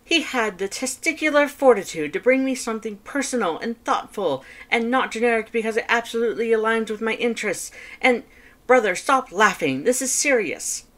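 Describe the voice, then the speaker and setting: Woman as a male skeleton, high voice, proud, competitive, formal wording, dramatic audiobook character. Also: expressive, moderate pace, a bit bright. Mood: flustered, outraged. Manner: emphatic, formal, theatrical delivery. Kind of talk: fan fiction audiobook character voice.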